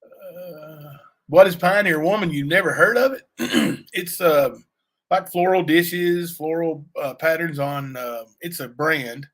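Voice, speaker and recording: Raspy-voiced man chatting on a livestream. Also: a little high, slow pace, fairly bright tone. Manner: rambling, many fillers and asides to chat. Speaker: livestream cohost; youth basketball coach. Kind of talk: casual livestream chat.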